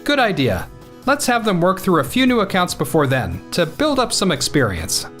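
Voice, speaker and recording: An earnest, principled office boss, voiced by a man in a manga dub. His pitch is a little high with lively movement, over faint music. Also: moderate pace, heavily acted. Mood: encouraging. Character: earnest, principled male office manager at tech firm. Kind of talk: anime-style manga dub acting.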